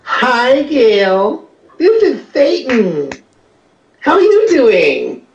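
A man's voice: extremely high pitch, wildly swooping pitch, very slow, gravelly, reverberant, far from the mic, dull audio, noisy background, pitched above the performer's own voice, full character voice. A man voicing Satan, a silly male devil, in a giggly, mock-sweet way.

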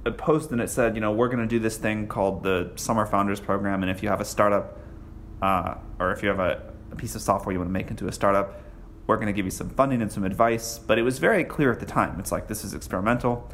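A man answering podcast interview questions in a halting way, full of restarts and fillers. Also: fairly low pitch, a bit bright, slight background noise. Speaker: interview guest.

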